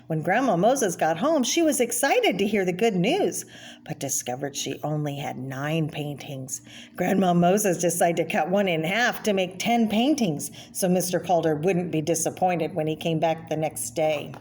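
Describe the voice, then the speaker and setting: A woman's voice: fairly low pitch, darkish tone, slight background noise. A woman reading a children's picture book aloud, adding informal explanatory asides about the pictures. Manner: explanatory, pausing to name and comment on pictures. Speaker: read-aloud presenter for children.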